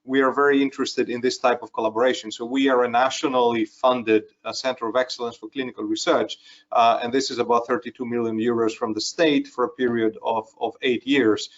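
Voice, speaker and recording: A man's voice: mid-range voice, little pitch movement, brisk. Hesitant man from Greece, muffled call. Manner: repeats words when starting, hesitant. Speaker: research center panelist. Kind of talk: panel discussion. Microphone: video call. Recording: muffled video call audio.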